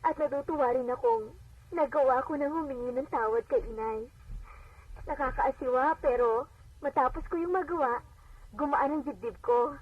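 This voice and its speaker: A woman's voice: high voice, moderate pace, pauses often. A woman acting an earnest, devout wife and daughter telling her testimony in a radio drama. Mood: earnest, relieved. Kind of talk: radio drama acting and first-person narration. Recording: dull, noisy AM radio playback, distant.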